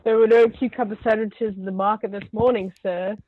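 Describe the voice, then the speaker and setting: A woman's voice: mid-range voice, fairly even intonation, brisk, phone-quality sound, lightly acted. A woman voicing a deferential, unflappable manservant, formal in manner, in a stage comedy table read over thin call audio. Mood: unruffled.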